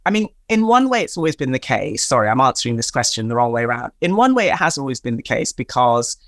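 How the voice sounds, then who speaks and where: A woman's voice: rumbling low, big pitch swings, fast, no room sound, studio quality. A woman from Tanzania, a guest in a conversational interview, talking emphatically and explaining with examples. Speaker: guest, fashion stylist.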